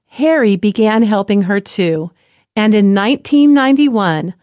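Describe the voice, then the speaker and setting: A woman narrating a story in a gentle, clear, measured reading style. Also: on the high side, dry recording, studio quality. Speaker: presenter.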